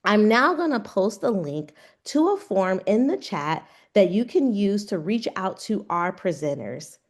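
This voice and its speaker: A woman's voice: medium pitch, sing-song, unhurried. Woman hosting a virtual fair. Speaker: event host. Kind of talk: scripted event introduction. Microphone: video call.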